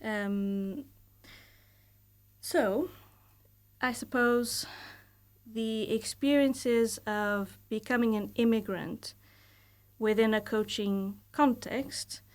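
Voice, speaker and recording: A woman in her thirties speaks with a Portuguese accent, a mid-pitched voice as a guest on a studio podcast. She talks about her work in a thoughtful, measured way. She often pauses and hedges with fillers as she gathers her thoughts. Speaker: guest, university lecturer. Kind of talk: casual podcast interview.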